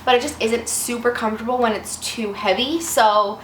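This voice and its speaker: Casting: twenties woman, slightly raspy from a cold, rambly and self-deprecating, higher voice, echoey room. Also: moderate pace. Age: 20s. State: fighting off a cold. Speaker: vlogger. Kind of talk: casual chat. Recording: echoey room with faint noise.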